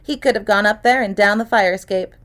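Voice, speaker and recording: A woman voicing a precocious young girl in an audiobook, calm and clear, with a cynical, worldly edge. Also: mid-range voice, fairly quick, close to an everyday voice. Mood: curious, assured. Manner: clear, calm, worldly beyond her years. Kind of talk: acted audiobook dialogue.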